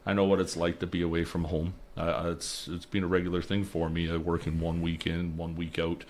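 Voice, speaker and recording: A man's voice: low voice, little pitch movement, brisk, a little mellow, a little hiss or hum. A young man from British Columbia, a candidate answering interview questions in a radio studio, earnest and restarting words as he goes. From Lower Mainland, British Columbia. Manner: earnest, repeats words while he searches. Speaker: election candidate. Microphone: studio mic on boom arm.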